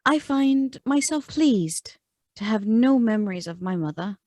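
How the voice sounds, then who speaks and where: A young actress playing a reserved, melancholy gentlewoman in a radio play, speaking in a measured, formal and guarded way. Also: on the high side, expressive, no echo, clean studio sound. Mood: composed. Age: young adult.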